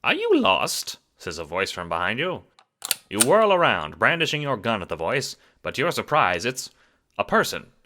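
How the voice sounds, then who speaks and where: A man giving dramatic, wry scripted narration for an audio drama, theatrical and expressive. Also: on the low side, moderate pace.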